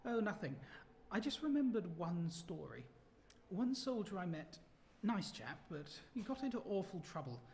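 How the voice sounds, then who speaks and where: An actor in a period radio drama playing a young, well-spoken English ex-soldier, jovial and full of old-fashioned exclamations. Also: male voice, high voice, sing-song, normal speed, darkish tone. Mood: wry storytelling.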